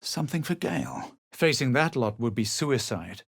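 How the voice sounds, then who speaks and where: An actor playing a smug, self-assured male adventurer in a fantasy video game, measured and dryly dramatic. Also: mid-range voice, big pitch swings, vocal fry, breathy edge, no room sound, clean studio sound, full character voice. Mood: wry, composed. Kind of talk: video game character lines and first-person narration.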